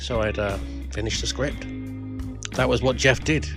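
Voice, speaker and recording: A man's voice: mid-range voice, normal speed, gravelly, over music. A man giving a personal spoken tribute to a friend, reminiscing in a self-deprecating way. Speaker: writer paying tribute to a friend.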